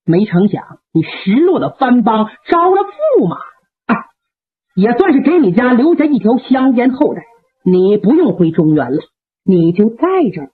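A woman's voice: fairly high pitch, sing-song, moderate pace, husky. A woman voicing a stern, fiery-tempered old male general who speaks in a harsh, commanding way, heard through a tinny radio recording. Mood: grave.

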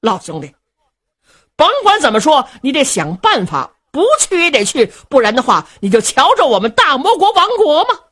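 Brief: hot-tempered bandit brother, loud, scolding, pitch leaping high, played by a woman storyteller. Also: big pitch swings, normal speed. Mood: angry, scolding. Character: hot-tempered male sworn brother, blustering. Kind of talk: traditional storytelling.

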